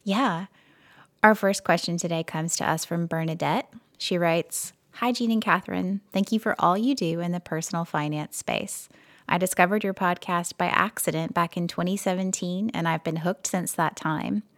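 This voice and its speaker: A woman co-hosting a personal finance podcast, reading a listener's letter aloud in a steady, measured way. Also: fairly low pitch, no room sound, studio quality. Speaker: podcast co-host.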